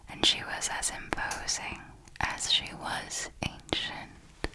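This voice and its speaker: A woman playing an ancient, refined female vampire mentor in an ASMR roleplay, telling her story in a deliberate, theatrical and elegant manner. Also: mid-range voice, poor audio. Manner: slow, deliberate, theatrical, elegant old-fashioned phrasing.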